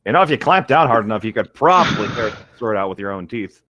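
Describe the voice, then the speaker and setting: A man's voice: lowish voice, expressive, fast, pushed and bright, no echo, dull audio. A man co-hosting casual comedic banter, exclamatory and repeating himself for emphasis. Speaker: co-host reacting to a reading.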